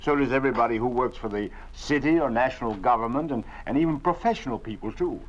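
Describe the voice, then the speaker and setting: An actor playing an anxious middle-aged father in a 1950s radio drama, earnest and halting, often repeating words. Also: medium pitch, lightly acted. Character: worried middle-aged family father under a regime. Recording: dull old 1950s radio recording.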